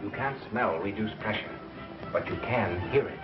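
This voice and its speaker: An actor playing a calm, matter-of-fact radio engineer turned amateur detective in a 1930s mystery film. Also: male voice, lowish voice, normal speed, close to an everyday voice. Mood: brisk, confident. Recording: muffled 1930s film soundtrack with music.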